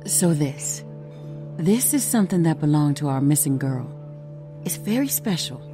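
An actress playing a tactful, gently probing woman in an audio drama. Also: sits low, big pitch swings, moderate pace, over music. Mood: gentle coaxing.

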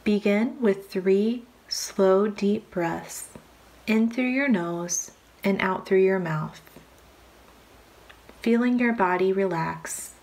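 Slow-paced woman guiding a meditation. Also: medium pitch, low-quality recording. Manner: calm, soothing, measured with long pauses. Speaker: guided meditation narrator. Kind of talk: scripted guided imagery meditation narration.

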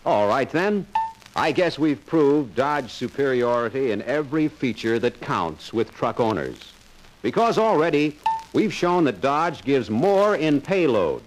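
A man's voice: mid-range voice, slight background noise, poor audio. A man narrating a 1950s sales training film in a confident, persuasive salesman's manner.

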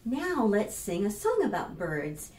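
A woman in her fifties reads a picture book aloud to preschoolers, expressive and instructive, addressing the children directly. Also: mid-range voice, unhurried. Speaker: children's storytime librarian. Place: small plain room indoors. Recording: roomy echo, faint background noise.

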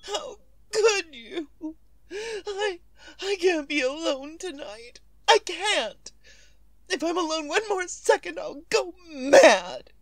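A woman's voice: very high voice, lively intonation, takes it slowly, no echo, exaggerated voice. A woman playing a nervous, demanding invalid wife in a melodramatic 1940s radio suspense style. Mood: distraught, panicked.

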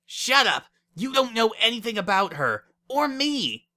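Man voicing a hoarse, sullen young female unicorn, pitched up. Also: animated, measured pace, sharp tone, no room sound, studio quality. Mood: angry, defensive. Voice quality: hoarse, muttering.

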